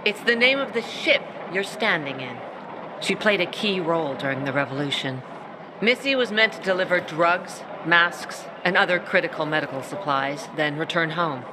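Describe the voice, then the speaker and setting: A woman's voice: lowish voice, expressive, background noise, close to an everyday voice. A woman playing a blunt tough soldier. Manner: blunt, brisk. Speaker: military officer briefing a newcomer. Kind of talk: video game cutscene acting.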